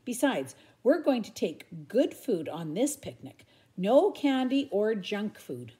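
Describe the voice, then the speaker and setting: A sensible, bossy girl teddy bear from a storybook, voiced by a woman. She organises everyone emphatically, in a lively voice. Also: medium pitch, takes it slowly, breathy edge, exaggerated voice. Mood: scolding.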